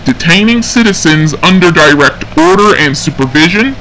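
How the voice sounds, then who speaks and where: A man playing an officer of a sci-fi police engineering unit, giving a halting, methodical, official briefing in an amateur audio log. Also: fairly high pitch, animated, sharp tone, a little hiss or hum, rough recording. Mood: official, matter-of-fact. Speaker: roleplay game server member recording an audio log. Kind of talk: semi-scripted in-character briefing log.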